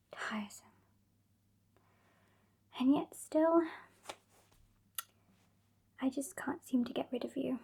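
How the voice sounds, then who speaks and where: Woman playing a whispery, slow, sassy British vampire. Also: a little high, warm tone, heavily acted. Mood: contemptuous. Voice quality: breathy, whispery. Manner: slow, condescending, withering. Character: sassy, haughty, impatient female vampire scolding her weakling fledgling. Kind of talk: ASMR roleplay, whispered and soft-spoken.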